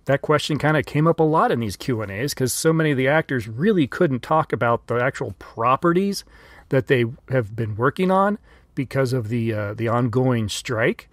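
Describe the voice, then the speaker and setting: A man's voice: mid-range voice, expressive, moderate pace, no echo. A man hosting a podcast, plainly narrating between convention clips. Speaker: podcast host. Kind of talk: scripted narration linking clips.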